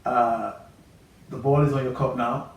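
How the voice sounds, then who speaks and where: Halting podcast host in a roomy room. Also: male voice, mid-range voice, steady tone. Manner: halting, many fillers and restarts. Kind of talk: casual interview chat. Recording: roomy, distant mic, faint noise.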